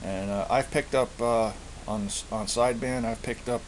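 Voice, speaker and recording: A man giving a casual show-and-tell of his gear, speaking haltingly and often repeating himself as he searches for words. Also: medium pitch, fairly even intonation. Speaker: hobbyist presenter. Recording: hissy amateur home recording.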